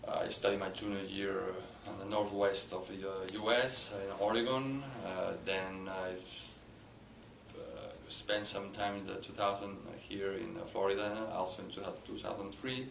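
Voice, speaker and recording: A middle-aged man from Spain with a Spanish accent, a company president answering interview questions haltingly with many filler sounds and restarts. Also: low voice, level tone, creaky. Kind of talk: hesitant unscripted interview answers. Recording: echoey room with background hiss.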